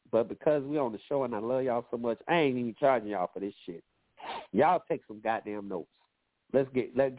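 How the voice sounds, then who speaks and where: A man hosting a call-in radio show, giving loose, profane relationship advice, a little nasal and wheezy from allergies. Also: medium pitch. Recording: phone-like lo-fi line.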